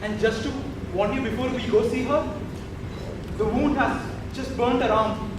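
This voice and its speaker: An actor playing a dutiful, chatty palace attendant with courteous, formal delivery in a stage drama. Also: male voice, very high voice, steady tone, normal speed. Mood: confiding, grave. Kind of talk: theatrical stage acting. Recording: echoey stage, distant mic, noisy.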